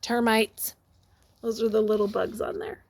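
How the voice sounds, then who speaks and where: High-voiced, unhurried woman reading to children. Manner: exclamatory, expressive, asks listeners questions. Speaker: teacher reading a picture book aloud to children. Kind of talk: animated read-aloud to young children with asides.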